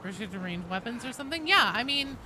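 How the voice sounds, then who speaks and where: A woman's voice: on the high side, animated, fairly quick, background noise. A woman game streamer chatting casually and answering viewers, opinionated and enthusiastic, with casual swearing. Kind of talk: casual livestream chat reading and answering viewers.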